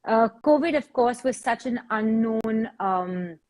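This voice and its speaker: Woman in her 40s, bit high voice, fitness trainer explaining stuff on a panel, repeats words while thinking. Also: lively intonation, a little slow, darkish tone, rough recording.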